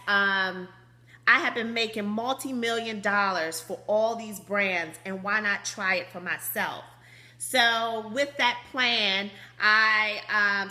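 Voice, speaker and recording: A woman in her thirties with a high, slightly squeaky voice, hosting a business conference call in an upbeat, chatty way over a phone line. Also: pushed and bright. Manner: bubbly, laughs often, chatty. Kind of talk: upbeat motivational call talk.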